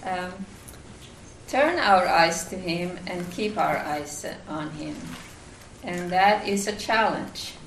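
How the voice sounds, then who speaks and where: A woman's voice: on the low side, distant mic, a little hiss or hum. Finnish-accented woman speaking in an echoey room. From Finland. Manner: halting, many fillers and restarts. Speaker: retreat speaker. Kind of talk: devotional talk.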